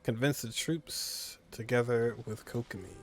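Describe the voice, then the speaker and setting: A man's voice: mid-range voice, steady tone, a little slow, soft background music. A man casually commenting on a game as he plays, with laid-back joking asides. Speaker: streamer playing a game.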